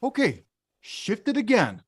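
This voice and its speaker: A woman acts out a clumsy, good-hearted big man from a cartoon, coaching bluntly. The delivery is lively and swings widely, yet a bit unhurried. The sound is close and dry. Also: a little high, slightly rough, no room sound, exaggerated voice. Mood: encouraging. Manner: blunt, hesitant, exclamatory. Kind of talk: live animated-film table read.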